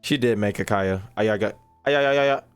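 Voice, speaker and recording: A man's voice: on the low side, unhurried, clean tone. A young man giving casual live commentary on a game as he streams, slangy and jokey. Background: faint game music.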